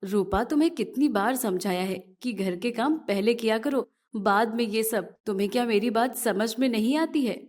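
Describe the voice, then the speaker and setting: A woman's voice: a little high, normal speed, a little mellow, lightly acted. A woman playing a scolding, scornful sister-in-law in a narrated moral story. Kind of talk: dramatized moral story acting.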